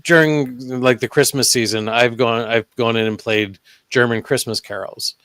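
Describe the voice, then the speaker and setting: A man talking as a guest investigator in a casual podcast chat, telling stories in a relaxed way with hesitant pauses. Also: mid-range voice, no echo. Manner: relaxed storytelling with hesitant ums.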